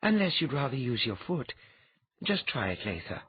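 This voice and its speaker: A husky-voiced audiobook reader voicing a patient woman who instructs calmly with a wry edge. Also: medium pitch, moderate pace, dry recording, muffled recording, voice pushed up. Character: patient, teasing woman teacher.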